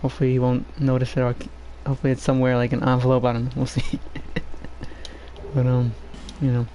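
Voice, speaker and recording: A soft-spoken man with a low voice, chatting shyly and hesitantly with lots of fillers while streaming a game from home. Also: monotone, quick pace, slight background noise. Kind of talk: casual gameplay stream chat. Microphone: home desk microphone.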